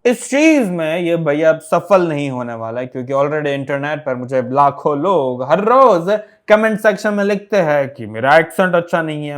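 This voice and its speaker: Chatty young man with Gujarati accent. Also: high-pitched. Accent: Gujarati-accented Hindi. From Gujarat, India. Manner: chatty, mixes English words into Hindi, many pauses. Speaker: fitness vlogger. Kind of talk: casual storytelling to camera.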